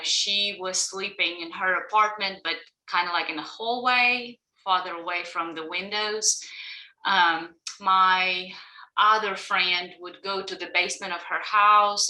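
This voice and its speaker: Woman around forty, Ukrainian accent, very sharp bright tone, echoey room, video-call interview. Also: on the low side. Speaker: interview guest, college professor. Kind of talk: personal interview account. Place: indoor room at home or office, on a video call. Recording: roomy, echoing video call.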